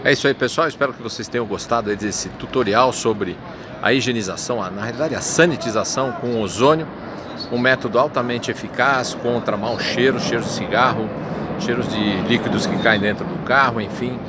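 A man's voice: mid-range voice, brisk. A middle-aged man hosting a casual on-location demonstration, guiding along with short questions outdoors. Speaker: channel host. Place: outdoor parking lot. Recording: loud street and car noise.

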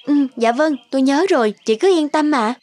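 High-voiced humble young housekeeper , woman acting. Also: fairly quick, darkish tone, no echo, natural voice. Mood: polite, subdued. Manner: polite, deferential. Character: humble, kind young housekeeper and surrogate mother. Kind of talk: Vietnamese cartoon voice acting. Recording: music behind the voice.

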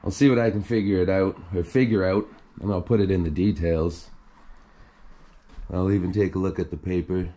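Low-voiced man touring an empty house. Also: moderate pace. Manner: relaxed, admiring, thinking aloud. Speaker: urban explorer host. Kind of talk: casual walkthrough narration. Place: indoors in an empty abandoned house.

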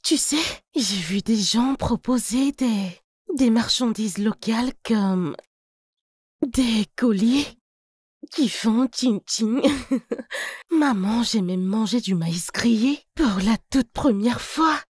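A woman dubbing a sheltered young daughter who talks slowly and dreamily in a film. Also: a little high, slightly rough, dry recording, studio quality. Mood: dreamy. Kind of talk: French film dub.

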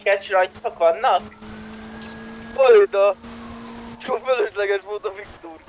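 A man making urgent callouts while playing an online roleplay game. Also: high voice. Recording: noisy game voice chat, vehicles and siren.

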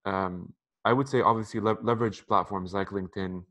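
Fast-talking man on a video call. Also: on the low side, steady tone, a bit bright. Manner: conversational, repeats words, gestures with hands. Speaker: interview guest. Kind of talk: casual advice on a video call. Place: apartment room by a window. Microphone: headphones on a video call.

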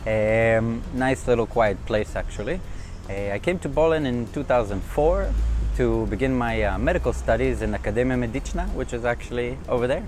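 A man in his thirties from Israel, a cafe owner, telling relaxed stories with many fillers on a city street. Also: medium pitch, normal speed, a little hiss or hum. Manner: fluent, relaxed storytelling with frequent uh fillers. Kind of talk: casual interview to camera.

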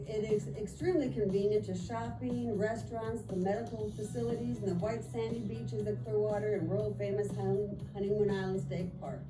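Woman agent's upbeat echoey sales voice-over. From Florida. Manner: upbeat scripted pitch with small slips. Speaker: real estate agent. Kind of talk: real estate promo voice-over. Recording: echoey voice-over with clear music. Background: background music.

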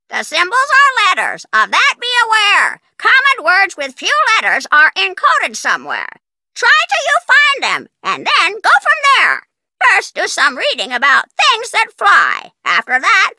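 A woman's voice: very high-pitched, bright, forceful tone, no room sound, exaggerated voice. A woman voicing a chirpy riddling creature that gives rhymed hints in a video game, sing-song with exaggerated emphasis.

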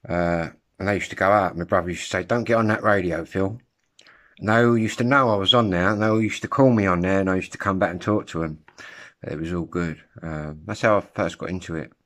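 A man in his fifties with an English accent, chatting casually and haltingly in an informal, rambling vlog. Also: low-pitched, fairly even intonation, fairly bright tone. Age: 50s. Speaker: CB radio hobbyist vlogger. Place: room at home. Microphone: phone at arm's length.

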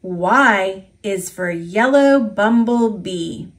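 A woman with an American accent reading an alphabet picture book aloud for toddlers, enunciating simply and deliberately. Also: medium pitch, expressive.

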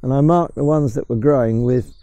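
A man's voice: medium pitch, brisk, dark tone. An older man with an English accent, casually explaining wild plants and mushrooms while walking through woods, with some hesitant restarts. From England. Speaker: mushroom forager guide. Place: woodland outdoors.

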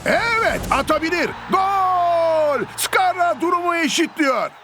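An actor playing an excitable football match commentator in a Turkish cartoon dub. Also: male voice, very high-pitched, slow pace, background noise, full character voice. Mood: excited.

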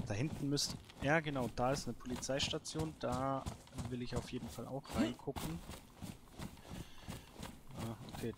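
A man's voice: mid-range voice, background noise. A man giving casual solo let's play commentary, thinking aloud to himself. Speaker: gaming video host.

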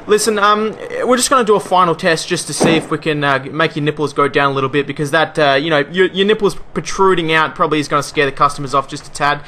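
A man's voice: a little high, quick pace, few pauses, noisy background. A man making a prank phone call, rattling off breezy, mock-official patter. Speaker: prank caller.

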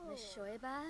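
Bubbly game girl cooing nonsense, high and very slow, loud music behind. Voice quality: babbling made-up language, cooing. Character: bubbly young woman game character babbling gibberish.

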